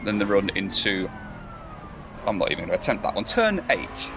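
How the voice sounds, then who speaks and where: A man's voice: on the low side, very animated, moderate pace, vocal fry, background noise. A man commentating a sim race live, rambling and hesitant, with many fillers and restarts. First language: not German or Belgian.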